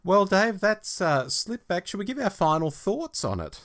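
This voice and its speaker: A man's voice: high voice, very animated, normal speed, fairly bright tone. A man hosting a podcast, talking casually as he gives his review in a discussion. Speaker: podcast host.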